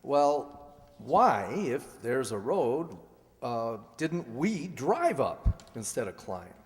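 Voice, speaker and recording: A man playing a grumbling, sarcastic but affectionate husband in a light radio mystery, wry, halting and exasperated. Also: medium pitch, big pitch swings. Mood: weary, sarcastic. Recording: reverberant hall stage recording.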